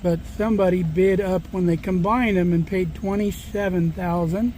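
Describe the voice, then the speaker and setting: A man casually narrating to his camera outdoors, explaining a property sale in a relaxed way. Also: sits high, normal speed, slight background noise, poor audio. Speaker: vlogger.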